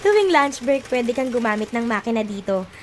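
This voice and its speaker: A woman plays a kindly, brisk factory supervisor in a radio drama. Her voice is high, with faint machine noise behind it. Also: sing-song. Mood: kind, encouraging.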